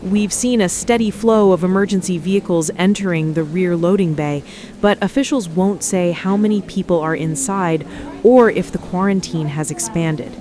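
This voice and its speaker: A woman's voice: on the low side, moderate pace, mellow, natural voice. A woman playing an uneasy TV field reporter giving serious live reports from an emergency scene. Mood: tense, hesitant. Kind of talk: live on-location news report.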